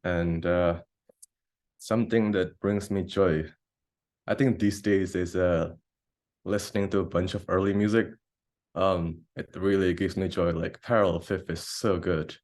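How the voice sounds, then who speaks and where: A man's voice: low-pitched, steady tone, a little slow, darkish tone. A young man from Indonesia, a composer and grad student, introducing himself casually on a video call with many fillers and restarts. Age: young adult.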